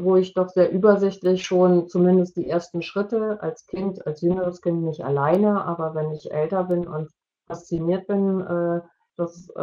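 A middle-aged woman answering as an expert in an online Q&A, explaining haltingly with pauses mid-sentence. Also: lowish voice, warm tone. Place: indoors, video call. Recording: dull, lo-fi video call audio.

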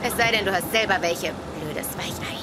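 A woman's voice: fairly low pitch, moderate pace, over a noisy crowd, close to an everyday voice. An actress playing a blunt, competitive, sarcastic young woman in a German sitcom audio play, snappy and mocking. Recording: noisy, with clear background ambience.

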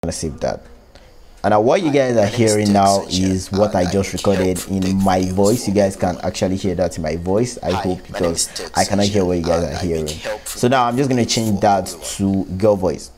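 A man's voice: deep voice, normal speed, crowd noise. A man hosting a casual tech tutorial, informally explaining an app step by step. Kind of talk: casual screen-recorded app walkthrough. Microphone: close one-way microphone, voice-over.

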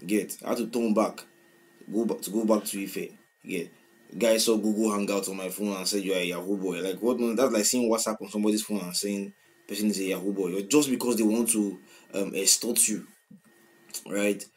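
A man in his twenties with a Nigerian accent, reacting to a song in casual commentary, swinging from loud outbursts to quiet mumbling, recorded on a webcam in a small room. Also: lowish voice, steady tone, brisk. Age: 20s. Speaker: music reaction host. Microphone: webcam at desk, wearing headphones.

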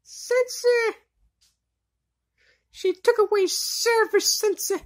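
A woman's voice: extremely high pitch, fairly even intonation, takes it slowly. A woman voicing an eager, cheerful young girl novice witch in an amateur anime fandub, earnest and full of exclamation. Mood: alarmed.

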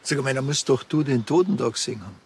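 Casting: grumbly detective, dry, husky and creaky, radio play. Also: male voice, mid-range voice, fast talker. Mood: impatient. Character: grumbling, impatient, dry male Bavarian police detective. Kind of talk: radio drama acting.

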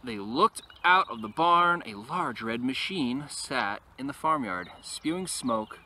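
A man reading a picture book aloud expressively to young listeners, with casual, quieter asides, recorded outdoors. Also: mid-range voice, expressive, slow. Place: outdoors in an open field. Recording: noisy outdoor recording with ambient sound.

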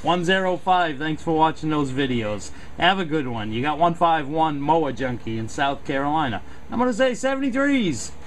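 High-pitched man chatting over loud radio static. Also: lively intonation, normal speed, hardly any pauses. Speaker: CB radio operator. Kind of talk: casual CB radio chat. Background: CB radio noise.

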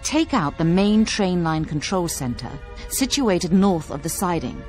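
Female military briefer: composed, instructive, lowish voice with lively intonation, game mission briefing. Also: measured pace, faint music behind.

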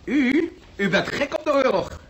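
An actor playing a pedantic hospital patient in a radio play, lecturing and needling with sarcasm. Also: male voice, very high-pitched, lively intonation, normal speed, a bit bright. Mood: mocking. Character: scornful, pedantic long-term male hospital patient, needling others. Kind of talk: radio drama acting.

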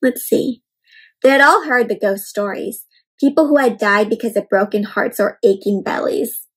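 A young woman with a fairly high, husky-edged voice reads a story aloud for children. She is expressive and emphatic, slowing on key words and stepping out of the narration like a teacher to ask questions and explain. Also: poor audio. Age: young adult. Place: indoor room at home.

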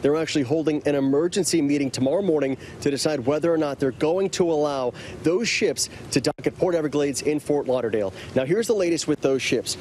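A man in his thirties giving a live news report from outdoors by a city park, with a brisk, clear delivery. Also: fairly high pitch, darkish tone, a little hiss or hum. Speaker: field reporter. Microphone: clip-on mic, medium camera shot.

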